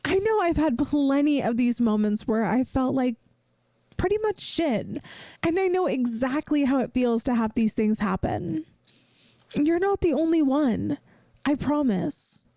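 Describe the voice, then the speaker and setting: A woman playing a caring young best friend in a soft-spoken ASMR roleplay, gentle and earnest, hesitant at times, offering reassurance. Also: high voice, animated, measured pace, dry recording, clean studio sound. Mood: gently reassuring.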